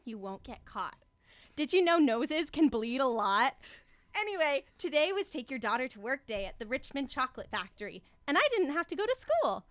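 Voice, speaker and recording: A woman's voice: on the high side, fairly bright tone, no room sound. A young woman playing a perky, naive schoolgirl in a campy radio comedy, reading her diary with exaggerated childlike delivery. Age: young adult. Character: young schoolgirl Megan, chirpy, naive, gleefully rough. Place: small radio studio. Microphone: studio microphone on stand.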